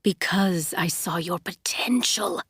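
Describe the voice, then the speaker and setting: A woman voicing a remorseless male villain who speaks in a low, soothing, unnervingly calm voice. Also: measured pace, dry recording, studio quality, natural voice. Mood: persuasive.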